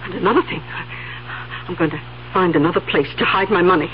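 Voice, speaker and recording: An actress playing a confused, stubborn, fretful elderly aunt in a 1970s radio drama, hesitant and stammering yet insistent. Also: female voice, on the low side, normal speed, close to an everyday voice. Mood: indignant, insistent. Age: older. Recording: dull old radio recording, faint hum.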